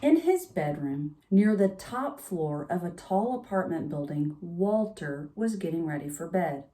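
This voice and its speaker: A woman's voice: on the low side, expressive, a little slow, mellow, slight background noise. A woman storyteller reading a children's picture book aloud at bedtime, expressively, with chatty asides.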